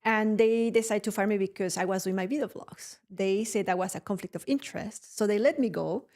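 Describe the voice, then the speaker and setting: Animated Spanish-native woman telling stories. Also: mid-range voice, brisk. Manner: animated storytelling, often laughing, frequent "right?" tags. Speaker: guest, production company CEO. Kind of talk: casual podcast interview.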